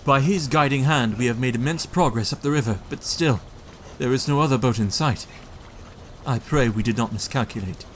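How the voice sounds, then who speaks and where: An actor playing an earnest, devoted young husband in an audio drama, speaking tenderly and softly, with faint background noise. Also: male voice, medium pitch, moderate pace, natural voice. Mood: tense. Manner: earnest, tender, soft-spoken. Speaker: narrator of his own journal.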